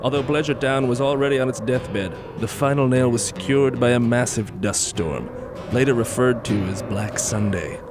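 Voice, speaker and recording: A man narrates a historical documentary with a deliberate, storytelling delivery. His voice sits at an average male pitch, and plucked guitar plays clearly underneath. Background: plucked guitar music.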